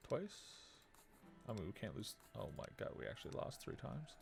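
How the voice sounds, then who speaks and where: A man's voice: huge pitch swings, normal speed, vocal fry, faint music behind. A man in his thirties streaming a game, muttering casually as he thinks aloud and reads out text. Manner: low-key muttering, reading card text aloud. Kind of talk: casual let's play commentary, thinking aloud.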